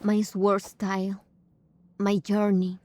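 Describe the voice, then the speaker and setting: A woman's voice: mid-range voice, monotone, takes it slowly, warm tone. A woman streaming a game, casually reacting to play and reading bits aloud.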